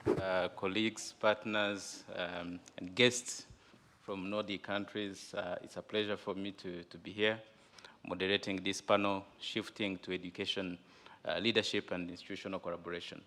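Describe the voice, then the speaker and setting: A man's voice: low voice, little pitch movement, moderate pace, a bit bright. A man moderating a forum panel, speaking with many hesitations and fillers.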